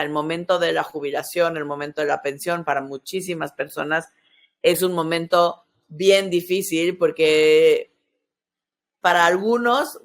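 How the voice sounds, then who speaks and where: A woman in her early forties with a Mexican accent, hosting a podcast and explaining things step by step, often checking in with short tag questions. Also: fairly low pitch. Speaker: podcast host, sexologist. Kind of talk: conversational explanatory podcast talk.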